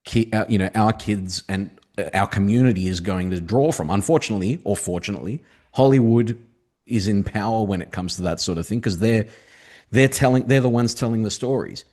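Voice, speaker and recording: A man's voice: fairly low pitch, fairly quick, mellow. A man on a podcast talking casually and bluntly, swearing now and then. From Australia. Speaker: podcast guest. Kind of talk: casual chat.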